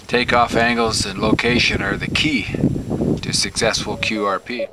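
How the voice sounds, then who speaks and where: A man on a desert hike, chatting in a relaxed way with frequent pauses. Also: medium pitch, gravelly, heavy background noise. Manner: relaxed, hesitant with fillers. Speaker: hiking companion and ham radio operator. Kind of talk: casual outdoor chat.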